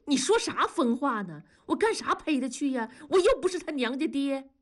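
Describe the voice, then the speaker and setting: A woman's voice: sing-song, brisk, soft background music. A woman voicing a blustering, whining middle-aged husband in an audiobook, crude and defensive, firing off indignant questions. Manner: shrill tirades full of indignant rhetorical questions. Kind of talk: audiobook character dialogue.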